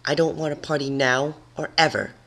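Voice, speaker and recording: A performer voicing a grumpy, menacing female cartoon pony, curt and irritated, sometimes flatly bored. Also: medium pitch, moderate pace, voice pushed up, lightly acted. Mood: dismissive. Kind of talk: cartoon character voice in fan fiction reading.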